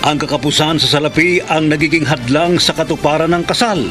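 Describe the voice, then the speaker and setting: A man's voice: on the high side, brisk. Solemn male radio announcer over music. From Philippines. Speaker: radio drama host. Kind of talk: dramatic radio narration.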